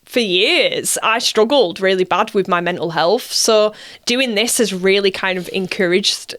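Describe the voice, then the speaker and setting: A woman's voice: medium pitch, measured pace, a bit bright. A woman speaking casually and reflectively as an interview guest, with an earnest, effusive, emotional manner.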